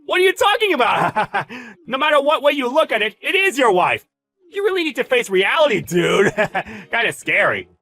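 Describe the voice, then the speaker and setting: A smug bullying male boss, put on by a man for an acted story. His voice is high and swings widely, with a bright, forceful edge. He talks in taunting, slangy exclamations, full of contempt. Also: very animated, normal speed, faint music behind, exaggerated voice. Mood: gloating, mocking. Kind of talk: dramatized story narration with character voices.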